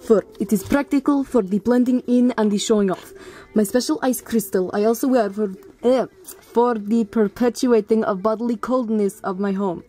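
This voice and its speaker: A woman's voice: fairly high pitch, moderate pace, warm tone, soft background music, lightly acted, rough recording. A woman voicing a stiff, proud foreign yeti girl from a cartoon, with stilted, broken phrasing. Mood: matter-of-fact.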